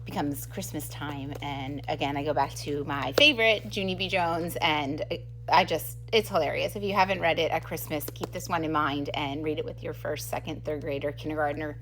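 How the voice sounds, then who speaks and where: A woman's voice: low voice, normal speed, fairly bright tone, a little hiss or hum. A middle-aged woman, an enthusiastic teacher, giving a casual, giggly book talk to camera. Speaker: second grade teacher presenting book recommendations.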